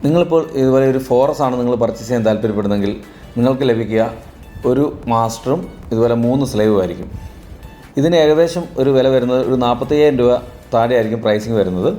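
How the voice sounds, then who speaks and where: A man's voice: medium pitch, fast talker, mellow. A man presents a product review, explaining and rephrasing as he demonstrates. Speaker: product reviewer for a camera store. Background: background music.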